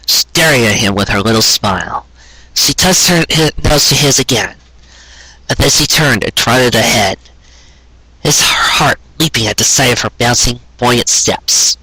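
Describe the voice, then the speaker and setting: A man narrates a romantic tale with earnest drama. His voice is bright and forward with a husky grain, recorded dry and close. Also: medium pitch, lively intonation, moderate pace, dry recording, low-quality recording. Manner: earnest, dramatic storytelling delivery. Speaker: storyteller reading fan fiction aloud. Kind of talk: scripted narration of a sentimental romance story.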